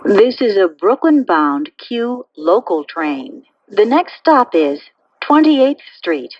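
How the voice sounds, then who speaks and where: A woman making pre-recorded subway train announcements in a measured, formulaic way. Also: medium pitch, sing-song, lo-fi sound. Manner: measured, clearly phrased, formulaic delivery.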